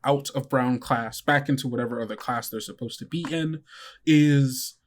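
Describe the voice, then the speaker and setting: A man's voice: mid-range voice, normal speed. A man narrating a tabletop game as game master, casual and conversational.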